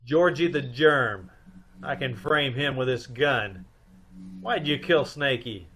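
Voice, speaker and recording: A man's voice: medium pitch, expressive, takes it slowly, fairly bright tone. A man playing a wry, cool private detective with a dry, teasing manner, in an old-time radio mystery. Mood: cool, bluffing.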